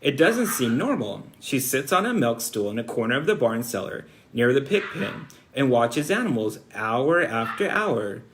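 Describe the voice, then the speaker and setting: A man's voice: mid-range voice, very animated, normal speed, few pauses, slight background noise, close to an everyday voice. A man reading aloud as a worried, stern farm mother in a storybook, scolding and anxious in manner.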